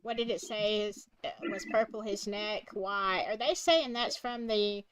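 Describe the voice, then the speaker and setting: A woman's voice: mid-range voice, a little slow, smooth, no room sound. A woman hosting a live stream, agreeing with her guest and reading out viewer questions. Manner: agreeable, reads out viewer comments.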